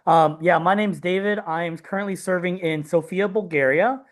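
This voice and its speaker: A man's voice: high voice, normal speed. A man from Texas giving a casual self-introduction as a participant on a group video call. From Texas, United States. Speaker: missionary program participant being interviewed.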